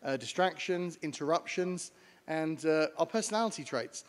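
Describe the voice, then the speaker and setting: A man with a British accent giving a brisk conference talk from slides, explaining to an audience. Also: a little high, animated. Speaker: panel speaker on air traffic communication. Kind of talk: conference presentation. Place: large conference hall. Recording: long hall reverb.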